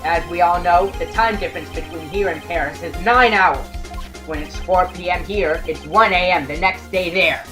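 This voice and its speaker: An actor playing an earnest, excitable young male defense lawyer with dramatic emphasis in a video game fandub. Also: mid-range voice, normal speed, close to an everyday voice. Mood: triumphant, emphatic. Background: game music.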